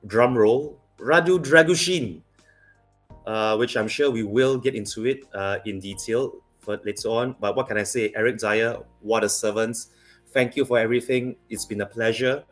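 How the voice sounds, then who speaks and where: A man from Malaysia co-hosting a casual livestream, talking in a measured, explanatory way with many fillers. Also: medium pitch, faint music behind. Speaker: livestream co-host.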